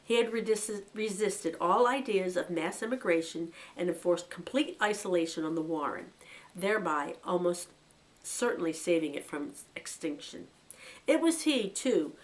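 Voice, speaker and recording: A woman reading a novel aloud for a local bookshop, with expressive narration. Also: lowish voice, rough recording. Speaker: bookshop reader.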